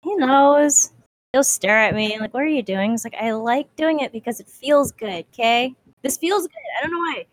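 A woman's voice: high-pitched, moderate pace, no room sound, low-quality recording. Playful woman in her twenties. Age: 20s. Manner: playful, mock-dramatic complaining. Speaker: podcast co-host. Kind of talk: casual chat.

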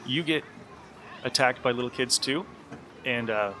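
A man's voice: medium pitch, measured pace, background noise. A man running a comic tabletop game as game master, narrating the scene for the players.

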